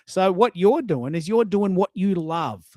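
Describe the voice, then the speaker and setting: A man's voice: high-pitched, animated, a little slow, warm tone, no echo, clean studio sound. A man hosting a casual live audio discussion, talking in an effusive, storytelling way with emphatic asides. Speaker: host of a live social audio show.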